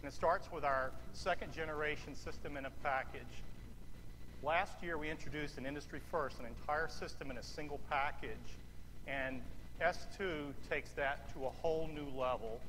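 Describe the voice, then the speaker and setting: A middle-aged man with a fairly high voice gives a confident, measured product keynote into a headset mic. His voice rings out with a long reverb through a large auditorium. Audible room noise sits underneath. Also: bright, forceful tone. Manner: confident, measured product pitch with occasional fillers. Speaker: product presenter. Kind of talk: keynote presentation.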